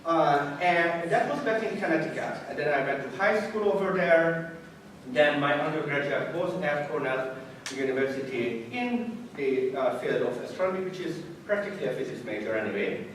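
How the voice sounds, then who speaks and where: A man with a Hungarian accent speaks in a very echoey room, far from the mic. His fairly high voice moves up and down a lot as he gives an informal, halting talk with self-deprecating humor. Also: a bit bright. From Budapest, Hungary. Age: 30s. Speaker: college science teacher giving a talk. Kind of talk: informal autobiographical talk to an audience. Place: echoey indoor room before an audience. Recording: echoey room, distant mic, noisy background.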